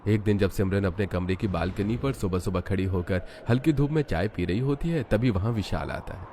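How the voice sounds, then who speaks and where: A man's voice: low voice, brisk, warm tone, a little hiss or hum. A man reading scripted narration for a cartoon family story.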